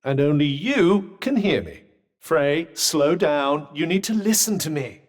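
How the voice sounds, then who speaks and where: A haughty enchanted vambrace speaks as a video game companion, with a British accent and a fairly high male voice. The delivery is very animated, sliding up and down in pitch. The phrasing is pompous and formal, laced with dry, sardonic wit. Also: a little slow, in a large hall. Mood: measured, dry. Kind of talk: video game character dialogue.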